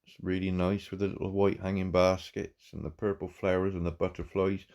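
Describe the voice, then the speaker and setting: Croaky low-voiced guy with a cold, stumbling over words while reviewing a game farm. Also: flat delivery, lo-fi sound. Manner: halting, repeats words, warm praise. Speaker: farm game channel host. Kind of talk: casual gameplay commentary.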